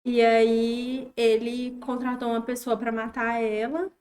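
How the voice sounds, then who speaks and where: A young woman from Tocantins in her twenties, a teacher, talking in a casual interview and pausing thoughtfully as she speaks. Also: a little high, level tone, darkish tone, slight background noise. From Tocantins, Brazil. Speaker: guest, teacher.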